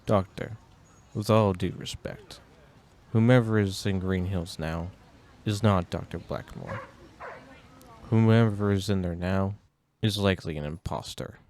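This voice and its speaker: A man's voice: low voice, a little slow, pauses often, noisy background. A man playing a formal, grave informant who delivers emphatic dramatic exposition in a horror drama. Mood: formal, insistent. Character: formal, grave male informant recounting a madman's crimes. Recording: music playing behind the voice.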